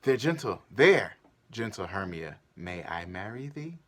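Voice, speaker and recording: A man's voice: fairly low pitch, big pitch swings, measured pace, fairly bright tone, voice pushed down. A man playing an ardent, cheeky young lover, wooing dramatically in a Shakespearean stage reading. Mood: urgent, conspiratorial.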